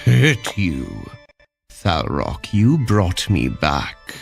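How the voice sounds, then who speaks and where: A man voicing an arrogant, menacing king with an imperious, drawn-out delivery in a dark fantasy audio drama. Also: sits low, big pitch swings, creaky, heavily acted. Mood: sinister, pleased. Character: restored tyrant king, arrogant, menacing, slow and deliberate. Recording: music behind.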